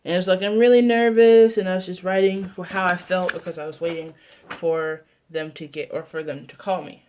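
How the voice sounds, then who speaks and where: A woman's voice: lowish voice, fairly even intonation, normal speed, darkish tone, slight background noise. Young American woman chatting casually, rambling. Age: 20s. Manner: rambling, self-interrupting, trailing off. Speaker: video blogger. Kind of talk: casual vlog chat.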